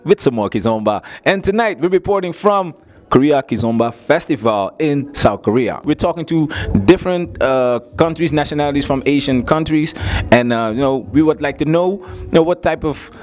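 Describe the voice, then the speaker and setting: A man's voice: fairly high pitch, animated, normal speed, darkish tone. A man hosting a group interview at a dance festival, chatty and full of fillers. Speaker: host.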